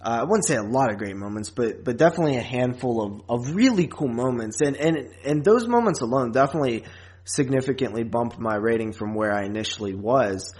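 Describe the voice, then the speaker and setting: A man podcast host giving a casual film review in informal, slangy talk, often halting and circling back over his phrases. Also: mid-range voice, lively intonation, darkish tone.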